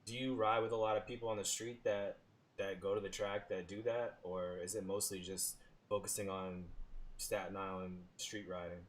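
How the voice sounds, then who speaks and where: Lower-voiced man interviewing. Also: level tone, slight background noise. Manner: measured, asks questions carefully. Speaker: podcast host. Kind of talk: interview questions.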